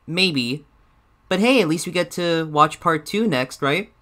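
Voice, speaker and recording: An eager but unsure cartoon bear sidekick, performed by a man, reacting to videos. The voice is a little high for a male, and the manner is hesitant and always obliging toward the boss. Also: animated, a little slow, bright, forceful tone. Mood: mild, deferential. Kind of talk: character reaction commentary.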